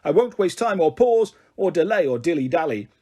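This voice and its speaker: A man's voice: on the high side, big pitch swings, a little fast, mellow, pitched above the performer's own voice. Man doing a pompous rhyming old professor. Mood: grandly rhyming. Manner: speaks in bouncy rhymes, indignant. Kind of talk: reading a children's book aloud.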